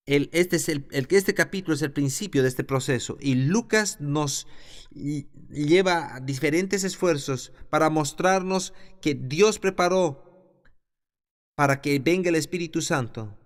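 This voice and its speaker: A man with a slightly high voice teaching a Bible class. He reads scripture aloud and then breaks it down in his own words, restarting a phrase here and there. His pace shifts between slow and quick. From the south of his country.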